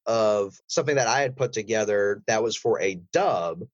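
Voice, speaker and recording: A man's voice: on the low side, moderate pace, no echo, lo-fi sound. A man speaking as an interview guest, a voice actor who gives long, rambling explanations in a conversational way. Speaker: voice actor and audio drama creator, interview guest.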